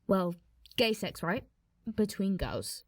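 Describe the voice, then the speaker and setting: Embarrassed, curious young woman, played by an actress, slow hesitant speech, dry studio sound, radio play. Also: medium pitch, big pitch swings, no echo. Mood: awkward curiosity. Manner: hesitant, awkward, protesting. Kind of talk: naturalistic radio play dialogue.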